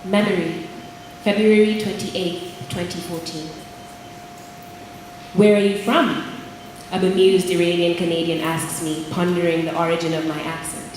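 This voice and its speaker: Young woman, spoken word poet, rhythmic measured delivery, big echoing hall, audible room noise. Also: lowish voice, little pitch movement, a little mellow. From Kenya. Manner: rhythmic, measured, repeating phrases. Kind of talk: spoken word poetry performance. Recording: echoey hall with long reverb, room noise.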